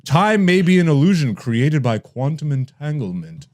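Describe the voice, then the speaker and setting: A man's voice: on the high side, very animated, moderate pace, a little mellow. A man hosting a comedy podcast, ranting casually and brashly with lots of swearing and laughing through his words. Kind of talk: casual comedic rant and chat.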